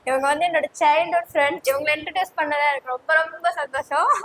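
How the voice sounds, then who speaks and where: Woman, high pitch, casual playful co-host, noticeably noisy recording. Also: steady tone, fast, fairly bright tone. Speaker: co-host and contestant in a challenge show. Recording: clearly noisy background.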